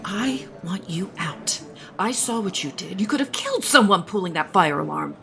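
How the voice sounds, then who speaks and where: A woman's voice: mid-range voice, lively intonation, normal speed, gravelly. An actress playing a haughty, middle-aged mother in an audio soap opera, speaking with controlled, cutting disdain. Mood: icy contempt. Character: haughty, sanctimonious older mother guarding her grown son. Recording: music behind the voice.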